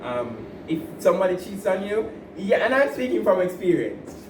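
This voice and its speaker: Man, podcast co-host, casual chat, rambling advice, higher voice, recorded far from the mic. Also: very animated, normal speed. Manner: laughing, rambling advice with restarts. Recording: roomy, distant mic with noise.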